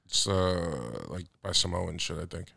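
A man's voice: deep voice, expressive, moderate pace, creaky, pushed and bright, no room sound, studio quality. An American man co-hosting a podcast, trading casual, slangy banter with a friend and swearing freely. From New York, USA. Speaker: podcast co-host.

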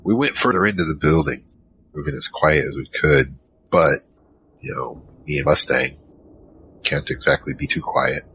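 An actor playing a giant troll, worried and soft-hearted, narrating in the first person in an audio drama. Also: male voice, a bass voice, normal speed, slight background noise. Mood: recounting, uneasy. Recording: music behind.

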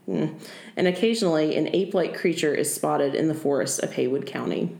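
A woman's voice: sits low, brisk, gravelly, dark tone, echoey room. A young woman co-hosting a podcast, casually telling folklore stories, joking and laughing along the way. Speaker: podcast co-host.

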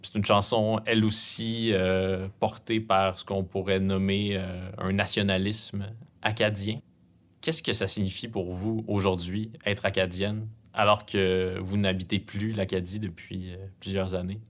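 Very deep-voiced measured male interviewer. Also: flat delivery. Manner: measured, many hesitations.

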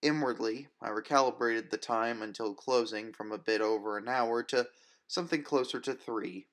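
A man narrating first-person fiction as an audiobook reader, with a measured, wistful, literary delivery. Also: mid-range voice, fairly bright tone.

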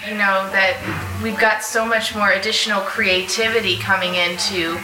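A woman's voice: medium pitch, level tone, moderate pace, music in the background. A woman speaking earnestly and appreciatively in a casual live panel discussion. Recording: echoey, distant, noisy live room.

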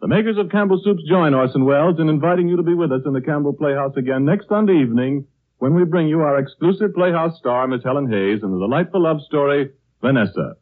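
Male announcer, fairly high voice, genial polished sales delivery, tinny vintage radio sound. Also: animated, fast. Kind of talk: 1930s radio announcing and sponsor pitch.

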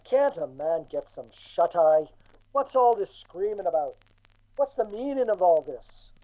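An actor playing a grumpy, commanding old farmer, scolding as if roused from sleep, in a radio drama. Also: male voice, high voice, sing-song, unhurried, warm tone, poor audio. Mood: cranky, indignant. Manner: cranky, scolding.

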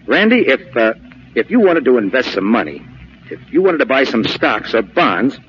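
An actor playing an earnest, shy and proper gentleman stockbroker, formal and hesitant, in 1940s radio comedy style. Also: medium pitch, sing-song, normal speed. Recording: muffled, noisy old radio broadcast recording.

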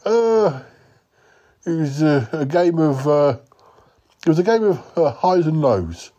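A man voicing a clueless male yeti uncle who talks slowly and hesitantly. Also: sing-song, mellow, highs cut off, full character voice. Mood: bemused. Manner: slow, hesitant, wandering speech with a higher voice.

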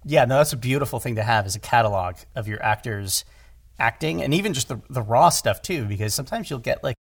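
A man talking casually as an audio drama director, animated and rambling, repeating words for emphasis and joking along. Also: medium pitch, fast, gravelly, slightly rough, dry recording, studio quality. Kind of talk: casual conversational discussion.

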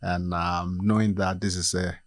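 A man from Ghana talking about his career in a relaxed interview, measured and pausing with many fillers. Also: sits low, no room sound. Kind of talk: casual interview chat.

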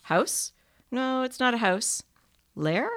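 A woman's voice: a little high, animated, takes it slowly, a bit bright, voice pushed up. A woman voicing a precocious, sober little boy who talks in an earnest, matter-of-fact way.

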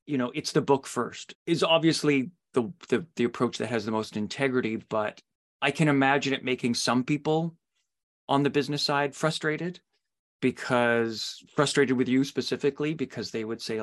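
Podcast host guy, average pitch, chatting casually and kinda discursive. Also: moderate pace. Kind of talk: casual conversational interview.

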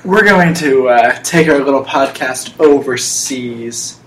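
A man's voice: medium pitch, takes it slowly. A young man hosting a casual podcast, talking in a mock-serious, emphatic way. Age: young adult. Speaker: podcast host. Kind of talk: casual podcast chat with playful monologues. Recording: roomy echo, faint background noise.

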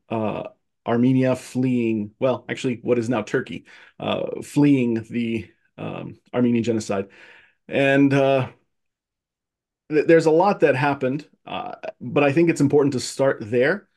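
Guest, male, from Egypt; earnest and reflective, halting with fillers, mid pitch, video call sound. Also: darkish tone. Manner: earnest, thoughtful, many restarts and fillers. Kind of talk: casual conversation, personal history.

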